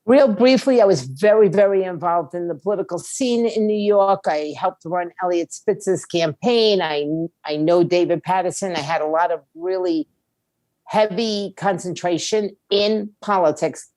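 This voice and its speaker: Candid middle-aged woman, lower-pitched, very dry sound. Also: moderate pace, darkish tone, no echo. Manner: candid, plain-spoken. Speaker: guest, music industry attorney. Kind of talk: conversational interview answers, explaining advice.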